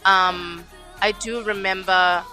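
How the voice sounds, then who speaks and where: A young Zimbabwean woman, her accent smoothed toward American, talks candidly and with feeling in a radio studio. Her tone is bright and forward, her pitch sits in the middle, and she slips into other voices to act out what people said. Faint music plays under the talk. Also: takes it slowly. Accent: Zimbabwean, toned toward American. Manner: animated, acts out quotes in other voices. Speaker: guest singer. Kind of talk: candid conversational storytelling.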